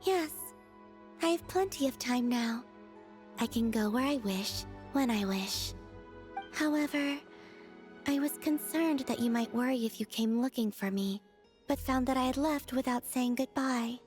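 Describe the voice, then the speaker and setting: Serene, playful young goddess played by a woman, video game. High, airy, formal and unhurried. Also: a little mellow, faint music behind, natural voice. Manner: unhurried, dreamy, formal phrasing. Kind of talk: scripted video game dialogue.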